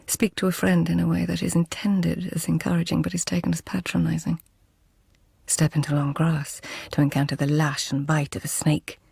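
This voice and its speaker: An actress playing a proud, witty lady-in-waiting of tenth-century Japan in a radio drama, hushed and measured, with formal poetic phrasing. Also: deep voice, level tone, exaggerated voice. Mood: incantatory, intense. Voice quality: breathy, hushed. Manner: measured, courtly, pointed.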